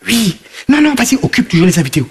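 A man's voice: very high voice, very animated, fast talker, full character voice. A man playing a boastful, party-loving husband who juggles his wife and mistress in a radio comedy sketch, talking in broken French, rambling and laughing. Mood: jolly, teasing. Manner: sing-song chanting and sudden shouting.

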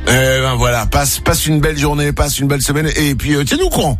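A male morning radio host talks fast in a slightly high voice, teasing his co-hosts with quick, playful banter. He speaks from a radio studio, with a music bed running clearly behind him. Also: lively intonation.

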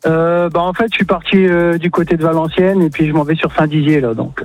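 Laid-back truck driver calling a radio show. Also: male voice, fairly high pitch, a little fast, faint music behind. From northern France. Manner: relaxed, hesitant, plainspoken. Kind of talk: casual phone-in chat.

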